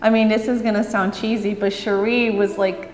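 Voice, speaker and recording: A woman from Brazil, speaking candidly and lively in an interview, with bursts of speed. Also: medium pitch, normal speed, echoey room, in a large hall. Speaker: interviewee, runner.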